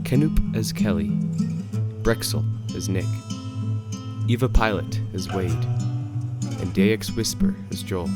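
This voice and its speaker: A man reading a scripted outro with credits and thanks, as the producer of a show. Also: lowish voice. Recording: loud guitar music behind the voice. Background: plucked guitar music.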